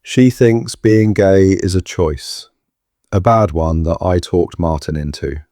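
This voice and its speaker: Low-voiced, anxious husband. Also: male voice, slow, warm tone, dry recording, studio quality. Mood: bitter, uneasy. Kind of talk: full-cast audio drama acting.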